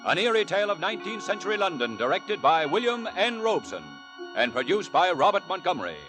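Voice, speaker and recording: A man's voice: high voice, big pitch swings, fairly quick, over music. A man announcing a 1940s radio drama in a projected, theatrical style.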